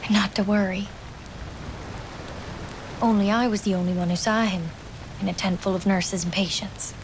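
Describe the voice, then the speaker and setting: A woman playing a young, grieving wartime nurse in a game drama, soft and wistful, with a Newfoundland accent. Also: mid-range voice, fairly even intonation, moderate pace, background noise, close to an everyday voice. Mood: tender, quiet. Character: grieving wartime nurse, sister of a fallen soldier. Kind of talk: dramatic game cutscene storytelling.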